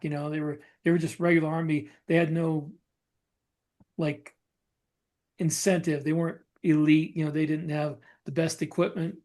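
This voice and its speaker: Higher-voiced man in podcast chat. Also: a little mellow. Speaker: podcast co-host. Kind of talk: casual podcast discussion.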